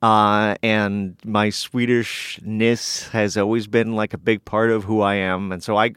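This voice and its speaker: A man's voice: fairly low pitch, level tone, measured pace, fairly bright tone, clean tone, no echo. Easygoing man with dry jokes. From California. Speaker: guest co-host. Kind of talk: casual chat.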